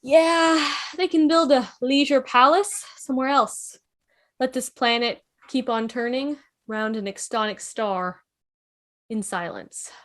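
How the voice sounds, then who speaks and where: A woman's voice: high-pitched, takes it slowly. A woman playing a shaken, helpless male time-travelling alien hero in an amateur drama read over a video call. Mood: subdued.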